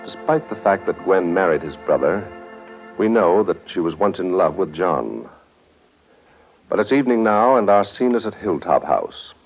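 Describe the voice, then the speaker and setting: A man's voice: deep voice, expressive, normal speed, breathy edge. An American man announcing and narrating a 1930s radio serial from a script. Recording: dull, band-limited 1930s radio broadcast. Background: music.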